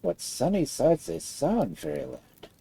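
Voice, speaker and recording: A storyteller reading a fairy tale aloud in an expressive style. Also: mid-range voice, a little slow, creaky, slightly rough.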